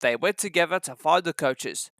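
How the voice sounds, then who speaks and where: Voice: mid-range voice, moderate pace, fairly bright tone, no echo, clean studio sound. A storyteller reading a scripted children's story as narration.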